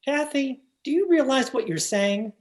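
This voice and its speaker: A haughty, refined young gentleman of radio melodrama, played by a man. His genteel, snobbish speech swings widely in pitch. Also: expressive, normal speed. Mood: indignant. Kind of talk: old-time radio drama acting.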